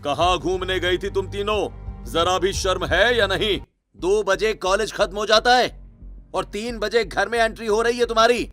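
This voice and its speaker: A man voicing an angry, domineering middle-aged father in a cartoon story, scolding and threatening with drawn-out rebukes. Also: high voice, fairly bright tone, faint music behind.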